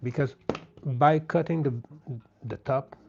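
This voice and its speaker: A man with a French Canadian accent, a native French speaker, chatting gently and casually as he hosts a live craft stream. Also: mid-range voice, steady tone, slow, vocal fry, background noise. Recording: dull, lo-fi sound.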